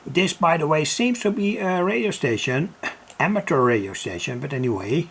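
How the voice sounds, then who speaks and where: A man giving an unscripted hobby radio demonstration, halting and thinking aloud as he works. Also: a little high, big pitch swings, fairly bright tone, slight background noise.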